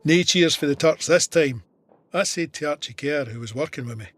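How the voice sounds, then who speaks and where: An actor with a Scottish accent playing a soldier who narrates his war memoir in a measured, vivid, plain-spoken way. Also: fairly high pitch, natural voice. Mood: warm, reflective. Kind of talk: scripted first-person war memoir narration.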